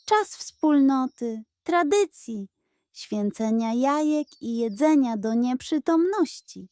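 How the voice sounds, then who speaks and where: A woman's voice: high-pitched, animated, takes it slowly, dark tone, smooth, no echo. A woman voicing a pompous, scheming male pug with theatrical delivery in a children's story. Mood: grandly dramatic. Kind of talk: children's bedtime audio story.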